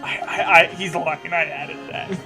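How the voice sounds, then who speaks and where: A man's voice: fairly high pitch, steady tone, measured pace, gravelly, bright, forceful tone. A man reading a story aloud with mocking, jokey asides, stammering and stumbling over the text. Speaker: co-host reading fan fiction aloud. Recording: music playing behind the voice. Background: video game music.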